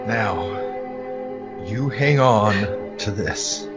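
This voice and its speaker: A man acting a big, determined, gruff but protective survivor in a horror audio drama, speaking slowly and emphatically through dull audio under loud music. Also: on the low side, lively intonation. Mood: urgent, commanding.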